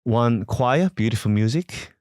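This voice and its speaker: A man from Korea with a Korean accent, a parish priest speaking in an interview, halting and searching for words with many fillers. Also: medium pitch, expressive, darkish tone, no echo, studio quality.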